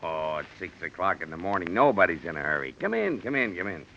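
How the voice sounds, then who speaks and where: A man's voice: sits low, very animated, fast, creaky, sharp tone, exaggerated voice. An actor playing a jovial, talkative older factory foreman who repeats himself and presses on, in 1940s radio style. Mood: jovial, chummy. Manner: jovial, repetitive, overbearing. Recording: dull old radio recording, noisy.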